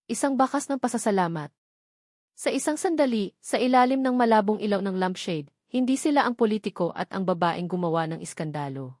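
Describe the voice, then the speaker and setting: A woman's voice: medium pitch, darkish tone, dry recording, clean studio sound. A woman with a Filipino accent reading a scripted love story as a dramatic narrator.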